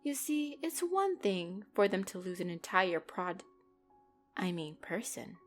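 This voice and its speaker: A commanding female mafia boss, acted by a woman, speaks over music with a very lively, swinging pitch. She is possessive and icily playful. Her controlled, condescending delivery wraps threats in mock sweetness, in the style of a dramatic roleplay audio. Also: a little slow.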